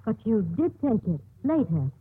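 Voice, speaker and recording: Earnest husky nun, old radio. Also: female voice, fairly high pitch, sing-song, normal speed, heavily acted. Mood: gently probing. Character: earnest, gently probing nun. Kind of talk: 1950s radio drama acting. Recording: muffled, husky-sounding 1950s radio recording.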